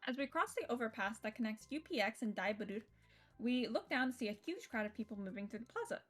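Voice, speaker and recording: High-voiced expressive woman game streamer. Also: quick pace. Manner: expressive, reactive, slipping into asides. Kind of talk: live stream read-aloud of a visual novel with casual reactions. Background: game music.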